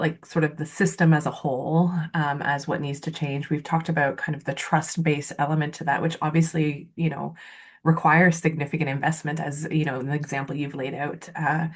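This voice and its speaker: A woman moderating an online panel discussion, thanking speakers and posing questions in a casual way full of fillers. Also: low voice. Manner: casual, with many fillers and hedges.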